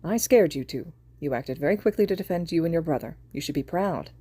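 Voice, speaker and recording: Woman reader voicing a kind male hero, soft and earnest, low mellow tone, storybook reading. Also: lively intonation, brisk, slightly rough. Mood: gentle.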